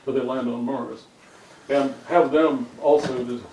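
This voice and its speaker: A man's voice: medium pitch, steady tone, unhurried, darkish tone. A man talking in an informal group discussion, wordy and given to digressions. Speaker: writer in a workshop discussion. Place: indoor room, recorded from a distance. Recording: roomy, distant mic, background noise.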